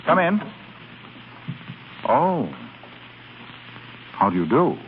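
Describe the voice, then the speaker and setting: An actor playing a suave young man in 1930s radio drama style, with a smooth, amused and lightly teasing manner. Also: a little high, big pitch swings, extremely slow, narrow, tinny audio, exaggerated voice. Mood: polite, wry. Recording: thin, noisy 1930s radio recording.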